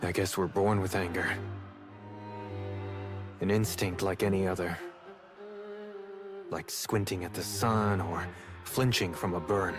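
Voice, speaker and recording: A guarded game hero broods in a lowish voice, taking his words very slowly. He comes across as a wary wanderer, with loud music underneath. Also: fairly even intonation. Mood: reflective. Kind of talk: cinematic game dialogue.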